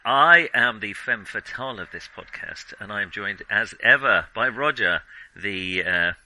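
A man's voice: fairly low pitch, lively intonation, unhurried, bright, forceful tone, rough recording. A man co-hosting a film podcast in casual chat, who laughs at his own slips. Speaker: podcast co-host.

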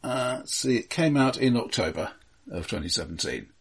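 A man co-hosting a podcast in casual film discussion, explaining things in a halting, analytical way. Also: mid-range voice, sing-song, creaky, slightly rough. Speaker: podcast co-host.

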